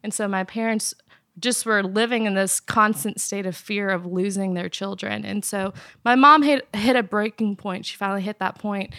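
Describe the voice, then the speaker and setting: Young female guest from Afghanistan, smooth clear voice, dry close sound, earnest and steady. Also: medium pitch, moderate pace, no room sound. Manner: earnest, steady, soft laughs. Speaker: interview guest. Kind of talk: personal storytelling in an interview. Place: apartment in Nashville.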